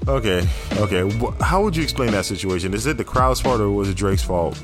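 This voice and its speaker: Man, fairly low voice, podcast host, slangy and teasing, blunt casual talk, music playing behind. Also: animated, fairly quick.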